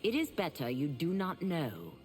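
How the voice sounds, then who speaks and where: A woman's voice: low-pitched, wildly swooping pitch, moderate pace, a little mellow, long reverb tail, faint music behind. A woman voicing a solemn, determined warrior seeking redemption in a video game. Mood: solemn, resolute. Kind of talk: video game character dialogue.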